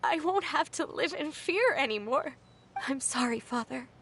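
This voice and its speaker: An actress playing a dutiful, emotional young woman with a hesitant, halting delivery in a video game drama. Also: high voice, expressive, a bit bright, slight background noise. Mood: tearful, apologetic. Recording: music under the voice.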